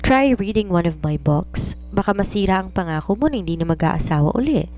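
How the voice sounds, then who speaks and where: A woman's voice: mid-range voice, expressive, brisk, darkish tone, soft background music, close to an everyday voice. A woman voicing an independent, teasing young daughter who writes romances, playful and reasoning in mixed Tagalog and English. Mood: playful, persuading.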